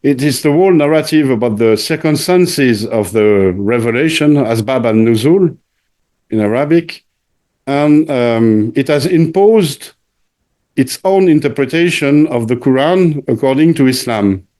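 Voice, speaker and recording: A man hosting an interview in conversation, speaking haltingly, often repeating words and searching for the next one. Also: medium pitch, expressive, warm tone. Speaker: channel host interviewing a returning guest.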